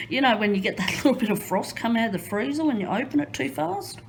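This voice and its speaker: An Australian woman chatting nervously while she works on a craft, rambling with many pauses, fillers and small laughs, filmed indoors on a phone held over her hands. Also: a little high, expressive, gravelly, noisy background. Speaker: crafting vlogger. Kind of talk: nervous casual chat while diamond painting.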